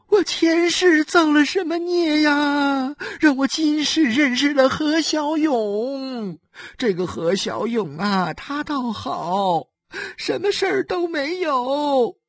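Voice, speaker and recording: A woman playing a wronged, frightened wife and mother, crying and lamenting in a faltering, drawn-out way in an audiobook drama. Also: sits high, no room sound, studio quality, exaggerated voice.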